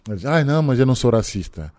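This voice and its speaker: A middle-aged man from Senegal with a French-tinged Senegalese accent, answering questions in an interview in a measured, reflective way with frequent hesitations. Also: mid-range voice, big pitch swings, darkish tone. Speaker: guest. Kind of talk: interview conversation.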